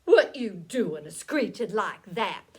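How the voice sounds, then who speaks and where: A woman's voice: mid-range voice, sing-song, slow pace, pitched below the performer's own voice. A woman voicing an amused, bossy farmer father with a rural Southern US accent and a commanding drawl. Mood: amused, firm.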